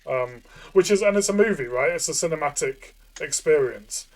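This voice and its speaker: A man co-hosting a casual game review chat, thinking aloud in a halting way and repeating phrases. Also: a little high, lively intonation.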